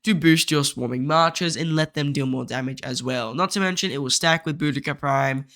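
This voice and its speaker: A man talks through a game guide in a voice-over, his nose blocked so his voice comes out nasal and congested. His tone is bright and a touch high, and he explains things steadily and methodically, point by point. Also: brisk.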